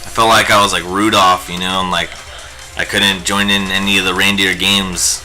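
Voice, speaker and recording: A man's voice: sits low, flat delivery, moderate pace, pushed and bright, soft background music. A young man hosting a live painting stream, chatting in a rambling, jokey way while he works. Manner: rambling and jokey, lots of fillers. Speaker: livestream host teaching digital painting.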